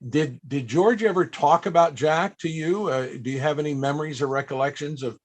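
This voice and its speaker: Male interviewer for a panel, slightly high-pitched, chatty and anecdotal in delivery. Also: a little fast. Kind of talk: conversational interviewing and storytelling.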